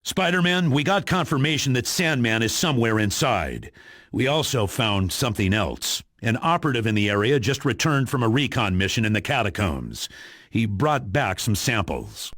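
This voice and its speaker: A man's voice: mid-range voice, big pitch swings, normal speed, a bit bright, no room sound, clean studio sound. A man playing a stern, businesslike spy commander giving video game mission briefings in an authoritative, matter-of-fact way. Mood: grave, informative.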